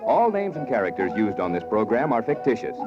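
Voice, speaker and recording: A man's voice: lowish voice, big pitch swings, fairly quick, loud music behind the voice. An American man making formal, scripted announcements in the style of 1940s network radio. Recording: dull 1940s radio recording.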